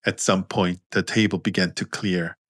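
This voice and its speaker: A man narrating scripted fiction as an audiobook, in a measured, understated manner. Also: sits low, fairly even intonation, fairly bright tone, dry recording, clean studio sound. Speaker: author and narrator.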